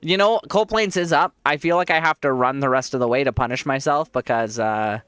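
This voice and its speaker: A man's voice: mid-range voice, fairly quick, a bit bright, clear, resonant tone, no echo, soft background music. A man with a congested voice, giving rambling casual commentary while he plays a game and laughs often. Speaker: streamer.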